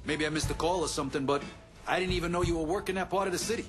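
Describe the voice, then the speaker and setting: An actor playing a wry, profane gangster in a video game cutscene, plain-spoken and salty. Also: male voice, fairly high pitch, rapid-fire, close to an everyday voice. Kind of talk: dramatic game cutscene acting. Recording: loud music behind the voice.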